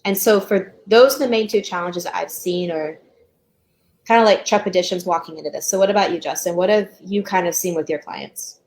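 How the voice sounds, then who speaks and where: A woman talking as a counselor in a casual discussion, with a thoughtful, measured manner. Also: fairly low pitch, a little mellow. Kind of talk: casual podcast-style discussion.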